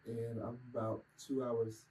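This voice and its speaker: A man's voice: medium pitch, little pitch movement, unhurried, dark tone, low-quality recording. A man from Kentucky answering casually as a musician guest in a group interview. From Kentucky, United States. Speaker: vocalist, keyboard player and interview guest. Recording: background room noise.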